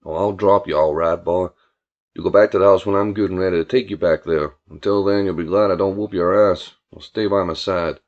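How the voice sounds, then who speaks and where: Man putting on a low, drawling, swaggering gang boss. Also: fairly even intonation, brisk, dull audio, natural voice. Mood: menacing. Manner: drawling, mock-courteous, domineering. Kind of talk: audiobook character voice.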